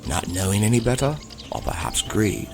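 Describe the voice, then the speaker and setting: A man playing a gruff, blunt trapper and father in a fantasy audio drama, speaking in dry, formal phrases. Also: on the low side, big pitch swings, normal speed, over music. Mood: wary. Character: gruff father and trapper in a fantasy swamp forest, eager to act.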